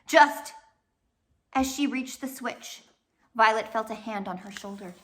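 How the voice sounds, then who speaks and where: A woman reading a children's novel aloud as a storyteller, dramatic, with swells and hushed drops. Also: fairly high pitch, unhurried. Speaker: storybook reader. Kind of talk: expressive read-aloud narration of a children's novel.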